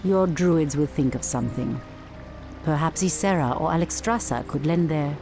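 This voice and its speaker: Proud elven priestess commander, music behind. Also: female voice, sits low, normal speed, mellow. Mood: questioning. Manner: formal, assertive. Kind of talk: dramatic video game cutscene acting.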